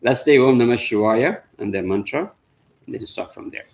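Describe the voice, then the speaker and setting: A man leads an online satsang, explaining slowly and patiently and restarting his sentences as he goes. His pitch sits in an ordinary middle range. He speaks through a computer microphone on a video call. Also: darkish tone. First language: Tamil. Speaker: spiritual teacher leading satsang. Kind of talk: informal spiritual talk over a video call.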